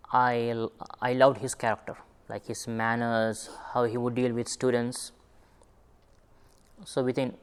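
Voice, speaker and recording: A young man who grew up in Sri Lanka, telling his own story in an interview in an unhurried way, often searching for his words. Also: mid-range voice, clear, resonant tone. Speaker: former student interviewee. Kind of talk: reflective personal interview.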